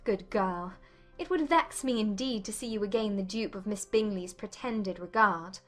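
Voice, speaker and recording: A woman playing a playful, witty young gentlewoman in a dramatized period audiobook, arch and affectionately teasing. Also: mid-range voice, normal speed, faint music behind, close to an everyday voice.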